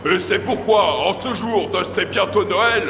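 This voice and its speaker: A man playing a festive medieval host, declaiming slowly and ceremonially to guests in a comedic audio drama, in an echoing hall. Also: high voice. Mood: solemn, festive. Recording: very echoey hall, crowd and music.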